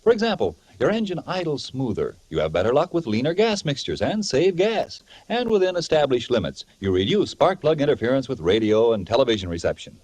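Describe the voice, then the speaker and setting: A man announcing in polished, emphatic 1940s radio style, reading a scripted pitch and credits. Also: big pitch swings, fairly quick, hardly any pauses, a little mellow, dry recording. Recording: old radio recording, music behind.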